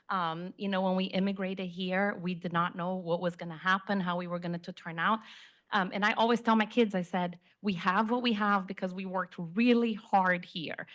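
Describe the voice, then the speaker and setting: A woman in her fifties from Brazil, a native Portuguese speaker, giving an informal, conversational talk about herself to an audience. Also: medium pitch. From Rio de Janeiro, Brazil. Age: 50s. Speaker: guest speaker, community relations executive.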